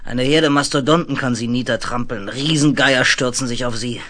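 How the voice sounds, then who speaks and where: Actor as a gruff, commanding older space-colony commander. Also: male voice, mid-range voice, steady tone, normal speed, fairly bright tone, natural voice. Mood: gruff, warning. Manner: commanding, clipped orders. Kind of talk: 1970s German radio play acting.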